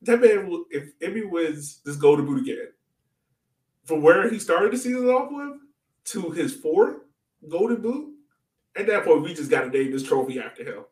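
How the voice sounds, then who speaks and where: A man's voice: a little high, expressive, moderate pace, darkish tone. A man co-hosting a casual soccer fan podcast, talking informally and breaking down a match. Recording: echoey room, far from mic.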